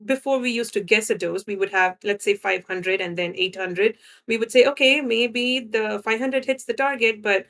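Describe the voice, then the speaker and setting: A woman's voice: medium pitch, fairly even intonation, normal speed, few pauses, a bit bright. A woman from India with an Indian accent, a guest scientist explaining her work in a conversational interview, often checking in with "right?". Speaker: guest scientist in drug development.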